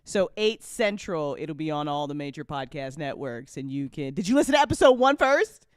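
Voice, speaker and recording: A woman's voice: deep voice, very animated, moderate pace, clean tone, no echo, studio quality. A woman hosting a live after show in casual chat, informal and repeating phrases for emphasis. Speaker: host of an audio drama after show. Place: close indoor mic on a live stream.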